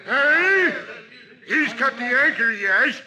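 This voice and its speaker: A man playing a bloodthirsty, hot-tempered pirate crewman, yelling in rough seafaring talk on an adventure story record. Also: very high voice, animated, slow, heavily acted. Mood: vengeful, loud.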